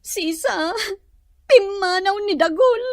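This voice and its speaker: A wronged young wife in a radio drama. Her voice is extremely high and dry, pleading and full of reproach. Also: lively intonation, takes it slowly, dry recording, heavily acted. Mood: pleading, tearful. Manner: plaintive, reproachful.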